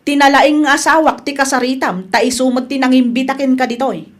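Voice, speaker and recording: A woman playing a haughty, sharp-tongued wife hostile to her in-law in an Ilocano-accented radio drama. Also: sits high, steady tone, a little fast. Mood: scornful.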